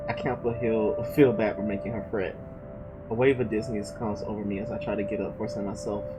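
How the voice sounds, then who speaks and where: Low-voiced man stumbling through a read. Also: poor audio. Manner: stumbling, restarting casual reading, swears. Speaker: game streamer reading the story aloud. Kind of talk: reading visual novel narration aloud.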